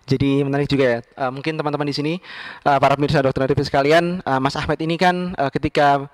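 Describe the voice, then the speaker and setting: A man's voice: mid-range voice, steady tone, fast, dry recording. A man with an Indonesian accent hosting an interview in a wordy, conversational way. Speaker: interview show host.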